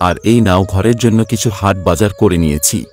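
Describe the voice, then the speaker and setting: Guy playing a worn-out poor cartoon labourer, lowish voice, humble and plaintive, bit of music behind. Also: a little fast, darkish tone, lightly acted. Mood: weary. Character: poor, weary, worried male day labourer, husband. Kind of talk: cartoon story voice acting.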